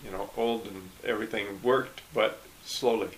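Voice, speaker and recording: An older man from Michigan recalling his past in a relaxed interview, speaking haltingly with frequent restarts and small chuckles. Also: lowish voice, rough recording. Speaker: oral history interviewee. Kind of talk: casual recollection.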